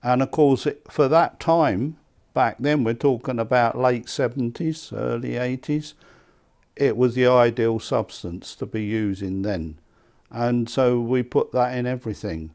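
Older English man, halting and conversational. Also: medium pitch, a little mellow. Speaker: loudspeaker maker being interviewed. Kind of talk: conversational reminiscence in an interview. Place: small indoor hi-fi demonstration room. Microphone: lapel mic.

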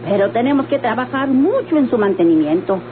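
Through a noisy, narrow old radio recording comes an actress playing a humble Mexican mother who keeps a motel. Her voice sits a little high, and she talks plainly, with hesitant resignation. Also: expressive, a little fast, natural voice. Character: humble, hard-working mother running a modest motel. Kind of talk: old radio-novela acting.

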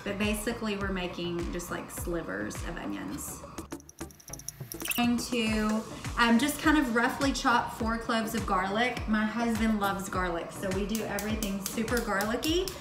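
A woman's voice: medium pitch, lively intonation, a little slow. A woman in her thirties chatting casually through a cooking demonstration as she works. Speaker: host of a cooking show. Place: home kitchen, indoors. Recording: echoey kitchen, far from mic, music bed.

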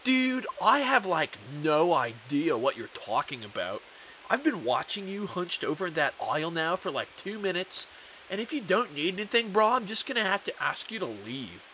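A man's voice: sits high, sing-song, normal speed, pushed and bright, muffled recording, slight background noise, full character voice. A man playing a laid-back, mildly impatient slacker store clerk in an audio drama, talking in slangy filler-heavy phrases. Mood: mildly annoyed. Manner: slangy, full of "like" and "dude". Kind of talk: amateur audio drama acting.

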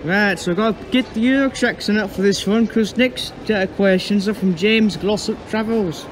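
A young man from England answering viewer questions in a casual vlog, talking in halting, restarting phrases. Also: sits high. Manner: halting, restarts phrases, enthusiastic about trains. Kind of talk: casual Q&A narration. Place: on a train and at stations. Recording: loud train and vehicle noise behind.

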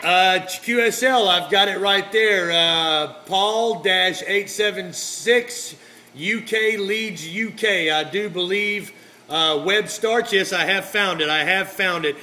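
Bright, high-pitched man. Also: slow. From United States. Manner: folksy, repeats phrases, radio jargon. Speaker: amateur radio operator. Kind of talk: casual two-way radio chat. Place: indoor radio desk, filmed up close. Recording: noisy room beside radio set.

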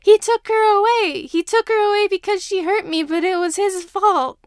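A woman voicing a traumatized young boy in an audiobook-style story, tearful, vehement and halting. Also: very high-pitched, no room sound, voice pushed up. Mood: screaming distress. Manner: halting, stammering, crying out.

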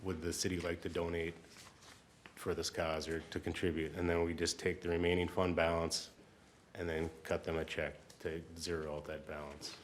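Very deep-voiced man explaining informally. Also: flat delivery, normal speed, slight background noise. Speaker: city staff member. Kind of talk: explaining at a council meeting.

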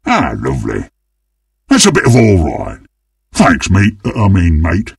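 A man voicing a greedy, gleeful, monstrous undead butcher in a video game, with a gravelly, husky British-accented voice and a menacing, theatrical manner. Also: low voice, huge pitch swings, unhurried, dry recording, studio quality, distant mic, full character voice. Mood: gloating relish. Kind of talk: video game character voice lines.

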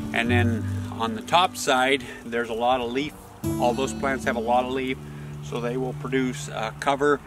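A man in his thirties explaining things casually and haltingly, like a farmer talking to camera out in an open field. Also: medium pitch. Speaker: farmer and vlogger. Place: outdoors in an open farm field.

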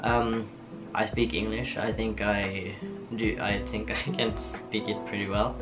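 Slow, giggly young Norwegian man. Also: on the low side, rough recording. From Norway. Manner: relaxed, giggly, lots of ums and restarts. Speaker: vlogger. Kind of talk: casual get-to-know-me chat. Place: small bedroom studio with shelves and posters. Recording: soft guitar music underneath.

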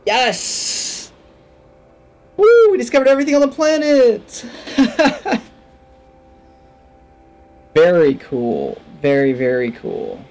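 A man's voice: extremely high pitch, wildly swooping pitch, slow, pauses often, a little mellow. A man in his thirties giving casual, enthusiastic let's play commentary, musing to himself as he plays. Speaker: gaming video host. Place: indoor room at a desk.

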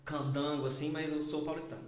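A man's voice: on the high side, level tone, fairly quick, slight background noise. A man in his late twenties from São Paulo, chatting playfully as a podcast guest at a desk microphone in a small studio. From São Paulo, Brazil. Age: late 20s. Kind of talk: casual chat. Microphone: boom desk mic. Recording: roomy studio sound.

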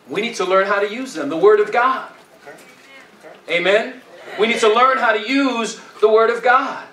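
A man's voice: very high-pitched, pushed and bright. A middle-aged man preaching a sermon in colloquial, repetitive phrases, exhorting his congregation and punctuating points with "amen?". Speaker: pastor preaching. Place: church stage, indoors. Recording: echoey church, distant camera pickup.

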